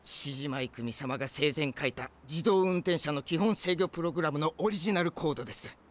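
A man's voice: a little high, a little fast, close to an everyday voice. An actor voicing an earnest middle-aged company employee in an anime film, speaking formally and politely as he explains. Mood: earnest explaining.

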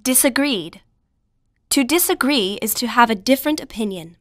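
A woman with a fairly high voice narrates vocabulary material for learners. She is measured and instructional, recorded very dry. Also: lively intonation, a bit bright, dry recording. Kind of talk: scripted word definitions for learners.

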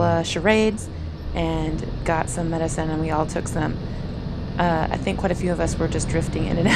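A woman's voice: fairly low pitch, gravelly, noisy background. A woman in her thirties chatting casually in a family travel vlog, upbeat and rambling.

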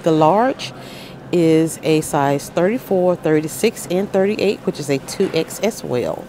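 Woman shopping vlogger, unhurried and animated, phone-recorded in a noisy store. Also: sits low. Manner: upbeat, listing items and prices with little exclamations. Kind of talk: shop-with-me price walkthrough. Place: aisles of a large grocery store, indoors. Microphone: handheld phone camera.

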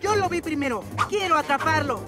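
A woman's voice: sits high, expressive, fairly quick, loud music behind the voice. A woman voicing a cocky, competitive boy cat villain in a Latin American Spanish cartoon dub, boastful and punchy. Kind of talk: children's animated cartoon dub.

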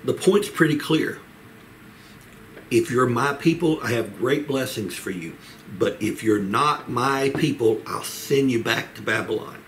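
An older man gives a Bible lesson like a pastor. His voice sits at an ordinary male pitch, and he speaks earnestly, measuring out phrases with pauses between them. The recording is made in a small room with clear background noise. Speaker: pastor leading a Bible study. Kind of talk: Bible teaching, expository sermon. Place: small home office, indoors.

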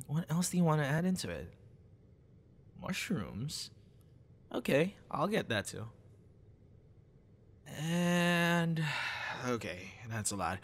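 A man acts out a young boyfriend in an ASMR audio roleplay, cute and teasing with something sinister held back. He is soft-spoken, at a middling male pitch. He takes his time over each phrase, talking very slowly and casually. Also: exaggerated voice.

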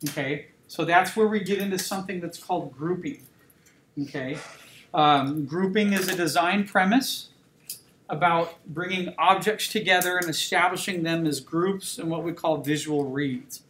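A man's voice: fairly high pitch, a bit bright. A man teaching a drawing class, talking casually and checking in with students. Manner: conversational, repeats "okay?" checks with students. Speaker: drawing instructor. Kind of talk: classroom lecture while drawing. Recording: roomy classroom with faint noise.

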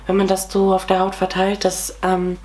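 A young woman in her twenties with a cold, hoarse, chatting casually through a makeup tutorial at home with many fillers. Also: medium pitch, completely flat delivery, moderate pace, darkish tone. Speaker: beauty vlogger. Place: small room at home, indoors.

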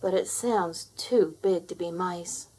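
A woman reading a children's story aloud in a dramatic, expressive storytelling manner. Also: on the low side, slow, slightly rough, low-quality recording. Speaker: storybook reader.

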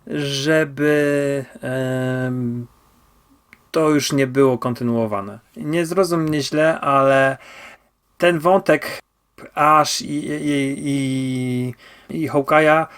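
A man's voice: medium pitch, very slow, a bit bright, clean tone. A man co-hosting a podcast, chatting through a review with frequent stammered restarts and repeated words for emphasis. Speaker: podcast host. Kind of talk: casual review discussion.

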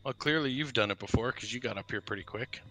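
A man's voice: mid-range voice, fast, a bit bright, soft background music, rough recording. A man playing an online game who throws crude insults at another player in casual banter. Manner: crude insulting trash talk. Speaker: gaming channel co-host.

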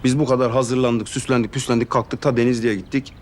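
An actor playing a fast-talking, pleading young man. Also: mid-range voice, level tone, slight background noise, natural voice. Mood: complaining. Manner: fast, pleading, self-pitying. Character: anxious young man Ferman, family underdog. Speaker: actor in a TV drama. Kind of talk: TV drama acting.

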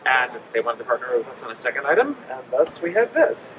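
A man's voice: mid-range voice, big pitch swings, fast talker, a bit bright. A man in his forties, a company representative explaining products with frequent ums in a trade show interview. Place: busy indoor convention hall. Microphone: handheld mic held by interviewer. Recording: noisy trade show floor.

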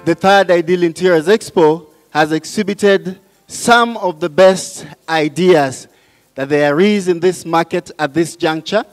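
A man giving a formal, measured speech at a podium microphone, stressing points by repetition. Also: fairly high pitch. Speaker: event speaker. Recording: echoey hall PA with music behind.

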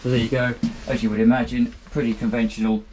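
A man's voice: mid-range voice, little pitch movement, fast, noisy background. A man, giving an upbeat walkthrough tour of a small motor boat cabin, filmed on a handheld camera. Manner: chatty, upbeat, pointing out features as he moves. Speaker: boat reviewer.

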